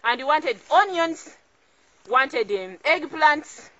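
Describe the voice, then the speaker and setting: A young woman with a Ugandan accent hosts an informal instructional vlog. Her voice is fairly high and very bright, with a forceful edge. She is encouraging and emphatic, often repeating phrases to drive a point home. The outdoor camera recording is slightly dull and lo-fi. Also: animated, slow pace, no room sound. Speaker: farmer and video host. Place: small outdoor home garden.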